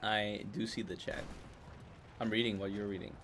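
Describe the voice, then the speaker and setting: A man's voice: on the low side, fairly even intonation, normal speed, background noise. A man giving casual live commentary on a strategy game, thinking aloud as he goes.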